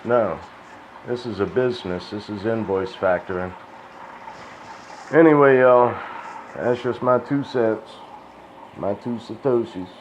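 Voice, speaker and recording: A man's voice: lowish voice, unhurried, pauses often. A man rambling in a casual, profane, folksy monologue, sounding tired and dry-mouthed. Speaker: crypto video host. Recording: dull lo-fi sound with faint hiss.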